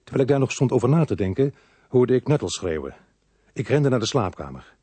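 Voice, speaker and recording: An actor playing a brisk, world-weary police inspector who narrates his case in a radio crime drama. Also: mid-range voice, sing-song, mellow, breathy edge, no room sound. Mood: sober narrating.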